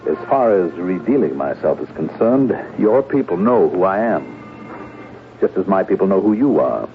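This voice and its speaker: A calm foreign spy in an old radio play, swingy low voice, formal and cagey. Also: male voice, soft background music. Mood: cryptic, measured. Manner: measured, enigmatic, formal phrasing. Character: foreign secret agent posing as journalist, calm, uneasy underneath. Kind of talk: 1970s radio drama acting. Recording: muffled phone-like old radio recording, hiss.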